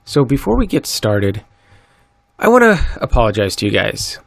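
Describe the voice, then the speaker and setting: A man hosting a solo podcast, a little stuffy from a cold, talking in an earnest, upbeat sales-pitch way. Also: sing-song, moderate pace, creaky, faint music behind. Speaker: podcast host. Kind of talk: solo crypto podcast monologue.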